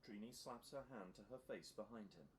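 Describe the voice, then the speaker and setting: A man's voice: lowish voice, normal speed. A man reading scripted narration for an audio drama as its storyteller. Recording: faint background noise.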